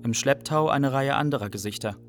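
A male actor as a young Iraqi refugee looking back on a wandering life. The voice sits at a medium pitch, in reflective radio-drama narration over faint music. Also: fairly quick, lightly acted. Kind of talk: scripted first-person radio play narration.

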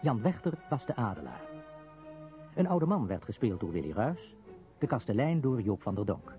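A man's voice: medium pitch, very animated, moderate pace. A man reading a scripted credits announcement as a radio announcer. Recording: dull radio recording, prominent music.

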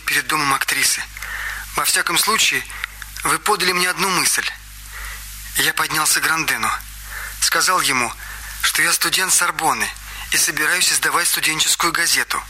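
A man's voice: fairly high pitch, breathy edge, full character voice, rough recording. An actor playing a young male student who earnestly explains how a murder was staged, in a radio drama. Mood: tense. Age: young adult. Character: young male student, nervous but sharp-minded. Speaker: witness explaining the crime. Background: loud music score.

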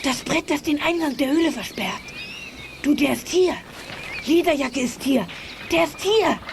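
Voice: sits high, animated, unhurried, background noise. A performer playing a bold, watchful child detective in a children's radio play, urgent, bossy and conspiratorial. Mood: tense, secretive. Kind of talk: 1980s German children's radio play acting.

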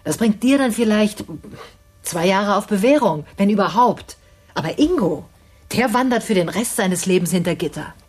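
Scornful educated older woman held hostage: medium-pitched husky voice, condescending, articulate, radio drama. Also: expressive, moderate pace, a little mellow. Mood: scheming. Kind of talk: naturalistic radio drama acting.

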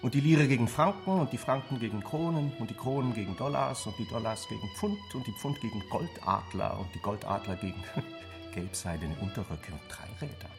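A man's voice: fairly low pitch, animated, brisk, vocal fry. An actor playing a mocking, talkative man in a radio play, needling his friend with theatrical questions. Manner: sardonic, theatrical, rhetorical questioning. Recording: music playing behind the voice. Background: music.

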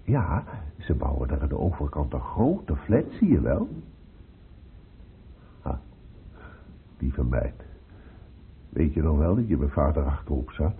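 A muffled, noisy old radio drama recording carries a deep male voice. a protective middle-aged father who coaxes tenderly and haltingly, repeating himself with wide swings of pitch. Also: sing-song, full character voice. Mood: tender, coaxing. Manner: halting, coaxing, repeats phrases.

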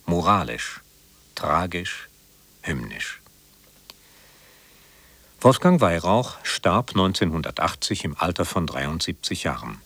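A man reading a scripted biographical introduction as a radio presenter, in a measured, formal manner. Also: low voice, a bit bright, no room sound.

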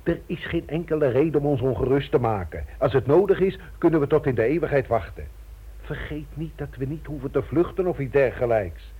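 An actor playing a pragmatic robbery gang leader in a radio play, matter-of-fact and persuasive. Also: male voice, mid-range voice, animated, brisk, slightly rough. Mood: calmly persuasive. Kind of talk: radio drama acting. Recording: tinny, narrow old radio recording.

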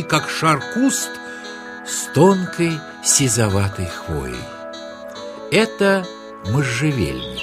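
A man narrating a nature story for children in a tender, dramatic storytelling style. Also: fairly high pitch. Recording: music under the narration. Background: music.

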